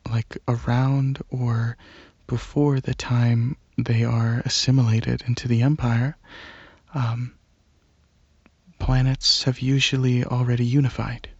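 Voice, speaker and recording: Soft, husky, hesitant alien dragon played by a man. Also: mid-range voice, no echo, dull audio. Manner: hesitant, halting, softly earnest. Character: shy, gentle alien dragon host, curious about Earth. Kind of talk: ASMR roleplay, soft rambling monologue.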